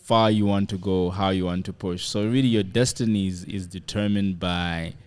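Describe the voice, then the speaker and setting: A man from Zambia, a guest on a podcast, talking casually and thoughtfully with many pauses and restarts. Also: low-pitched, steady tone, darkish tone, clear, resonant tone.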